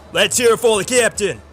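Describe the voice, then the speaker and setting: A man's voice: sits high, fairly bright tone. A man playing a drunk, grieving and reckless young clone trooper in an audio drama, emotional and defiant. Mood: rowdy, triumphant. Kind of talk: dramatic audio fiction acting. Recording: music bed under the voice.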